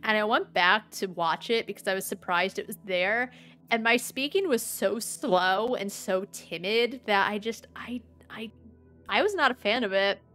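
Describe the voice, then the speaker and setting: A woman in her thirties streaming a game, with a dry, sometimes monotone-sounding voice, chatting and rambling. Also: medium pitch, moderate pace. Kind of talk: casual gameplay commentary. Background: faint game music.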